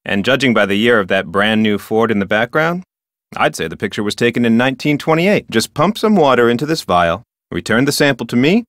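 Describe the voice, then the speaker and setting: A man's voice: medium pitch, animated, brisk, a bit bright, dry recording, studio quality, lightly acted. A man playing an officious, rule-bound park ranger in an adventure game, with a formal, wordy manner. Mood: helpful, precise. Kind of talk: scripted adventure game dialogue.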